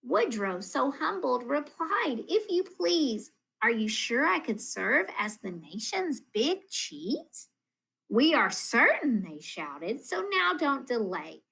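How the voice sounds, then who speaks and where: A woman reading a children's storybook aloud as a school teacher, in an expressive, deliberate manner addressed to young listeners. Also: sits high, vocal fry, breathy edge. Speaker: elementary school math coach reading to pupils.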